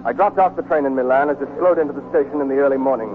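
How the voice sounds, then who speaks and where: An actor as a war-weary soldier, old tinny radio. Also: male voice, medium pitch, racing, natural voice. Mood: grim narrating. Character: weary American army deserter narrating his wartime love story. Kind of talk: 1940s radio drama acting, scripted narration. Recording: muffled, noisy 1949 radio broadcast recording.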